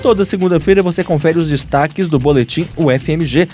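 A man reading a radio program announcement in a smooth, formal way. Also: on the high side, a little fast. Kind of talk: scripted announcement. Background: music bed.

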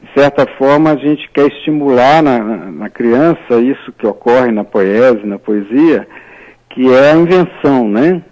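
A man's voice: medium pitch, a little slow, darkish tone, poor audio. A man, a writer, talking as a guest in a radio interview, speaking thoughtfully and hesitantly, with many fillers and restarts.

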